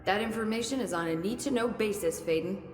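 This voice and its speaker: An actress playing a brisk, curious research scientist with dry wit in a video game, thinking aloud analytically. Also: female voice, medium pitch, close to an everyday voice. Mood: dry, frank. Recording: echoey hall with faint noise.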